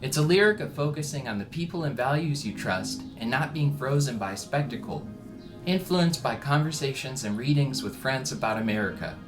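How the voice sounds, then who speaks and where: A man giving a reflective, measured scripted narration, as a musician talking about his own work. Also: medium pitch, expressive. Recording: ambient music behind the voice. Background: ambient background music.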